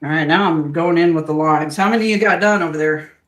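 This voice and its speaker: A woman's voice: sits low, fairly quick. Chatty woman, echoey kitchen. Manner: chatty and earnest, drifting into preaching. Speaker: host. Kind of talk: casual chat while cooking. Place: home kitchen.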